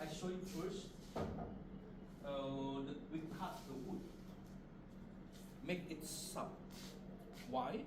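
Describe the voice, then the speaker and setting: A man with an Indonesian accent explains his craft to visitors in halting, simple English. His voice sits a little high. He is recorded at some distance from the mic in an echoey indoor studio. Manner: halting, simple non-fluent English in short phrases. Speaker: puppet master and craftsman. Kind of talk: informal live demonstration talk to visitors. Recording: roomy, distant mic, loud background noise.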